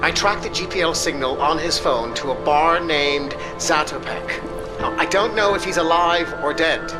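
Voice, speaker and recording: Actor as a snarky, higher-voiced American tech expert. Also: male voice, normal speed, lightly acted. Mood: businesslike. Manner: sardonic, precise, needling. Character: snarky, self-important male tech expert. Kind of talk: video game cutscene acting. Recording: loud music behind. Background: music.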